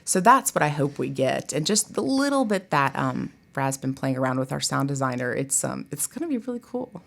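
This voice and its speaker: A woman's voice: a bass voice, sing-song, fairly quick, vocal fry. A woman talking casually and enthusiastically as an interview guest, in a chatty way. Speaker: guest, college theatre director.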